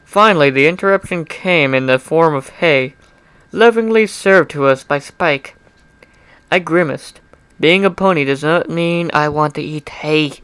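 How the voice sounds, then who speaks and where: A man's voice: fairly high pitch, unhurried, fairly bright tone, clear, resonant tone, no echo. A young man giving an amateur dramatic reading of fan fiction, informal and often breaking off into chatty, apologetic asides. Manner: informal, self-interrupting, apologetic.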